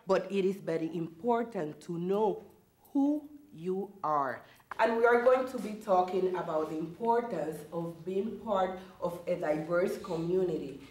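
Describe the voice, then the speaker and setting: A woman in her forties with a Puerto Rican accent, a native Spanish speaker and teacher, talking earnestly about herself in a measured way. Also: fairly low pitch, darkish tone, breathy edge. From Puerto Rico. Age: 40s. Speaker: bilingual special education teacher. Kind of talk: personal interview testimony.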